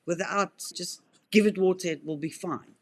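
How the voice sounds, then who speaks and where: A woman's voice: lowish voice, normal speed. Earnest South African woman in her fifties. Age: 50s. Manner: earnest, talkative, frequent restarts. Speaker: wildlife rehabilitator. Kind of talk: conversational interview answers.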